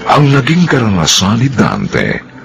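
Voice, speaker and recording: A man narrates a radio drama slowly and solemnly, stretching his words. His voice is very deep and gravelly and swings widely in pitch, with music clearly behind him. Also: huge pitch swings, a bit bright. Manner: slow, solemn, drawn-out.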